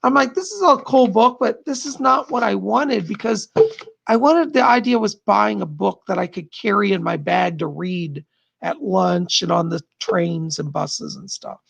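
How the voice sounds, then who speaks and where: A man's voice: high voice, unhurried, creaky, fairly bright tone, husky, no room sound. A middle-aged psychic host with a strained, tired voice, chatting casually from home as he reads out viewer comments, rambling and laughing often. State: strained, losing his voice. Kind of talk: casual live-stream chat reading viewer comments.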